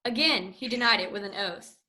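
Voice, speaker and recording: Bright woman narrating scripture. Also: mid-range voice, brisk, rough recording. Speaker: narrator of a church reading. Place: church.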